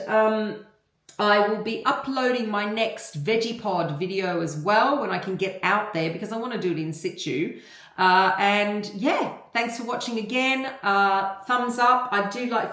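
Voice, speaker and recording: A woman's voice: medium pitch, fairly bright tone. Chatty Australian woman in an echoey space. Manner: chatty and rambling, many ums. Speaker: gardening vlogger. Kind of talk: casual talk to camera. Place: home kitchen garden.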